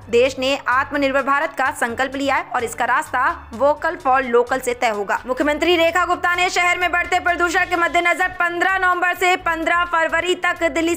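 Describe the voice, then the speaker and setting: Woman, news anchor, very high pitch, forceful bright tone, formal scripted bulletin reading. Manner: brisk, formal delivery.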